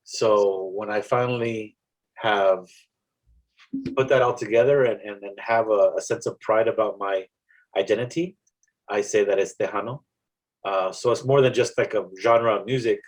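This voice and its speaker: Low-voiced South Texas man, reflective. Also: steady tone, low-quality recording. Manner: reflective, halting, with many restarts and fillers. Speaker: poet guest. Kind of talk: casual interview chat.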